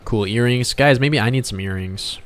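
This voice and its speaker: A man's voice: mid-range voice, normal speed. A man hosting a casual livestream while he draws, bantering and joking with viewers as a game developer. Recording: music playing behind the voice. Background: background music.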